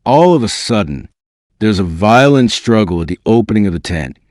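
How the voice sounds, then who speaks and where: A man delivers dramatic scripted narration in a fairly low voice. His pitch moves in a very animated way from phrase to phrase. The recording is dry and close, with no sense of a room around him. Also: normal speed, dry recording. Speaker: narrator reading a book excerpt.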